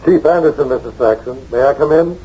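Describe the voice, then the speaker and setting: An actor in an old-time radio drama playing a polite but firm police chief, official and slightly hesitant. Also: male voice, medium pitch, fairly quick, noisy background, natural voice. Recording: dull old radio broadcast.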